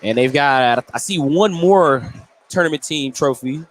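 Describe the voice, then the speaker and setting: High-voiced male sports radio announcer. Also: unhurried. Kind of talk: live sports broadcast commentary. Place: indoor school gymnasium. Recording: some background noise of a gymnasium.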